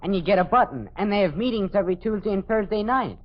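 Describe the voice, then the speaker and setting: A man's voice: sits high, animated, fast. A man playing an earnest, hapless teenage son with an American accent in a 1950s radio sitcom, boyish, sheepish and persistent. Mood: pleading. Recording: muffled old radio recording.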